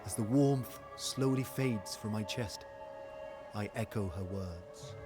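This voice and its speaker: An actor playing a weary, devoted military commander in a video game, narrating war in a grave, measured, dramatic first-person style over music. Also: male voice, lowish voice. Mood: somber, tender. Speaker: narrator of campaign cutscenes. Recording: studio voice over background music.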